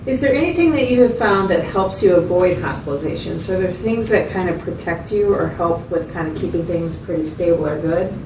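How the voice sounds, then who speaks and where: A woman's voice: lowish voice, lively intonation, fairly quick, few pauses. A woman playing a patient mental health facilitator in a role-played client interview, asking checking questions and summing up with hesitant phrasing. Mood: gently probing. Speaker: clinician facilitator. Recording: roomy, distant mic, loud background noise.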